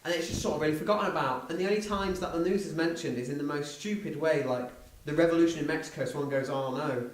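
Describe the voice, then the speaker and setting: A man's voice: on the high side, fast, hardly any pauses. A man speaking as a podcast host in a casual film discussion. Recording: roomy echo, distant from mic.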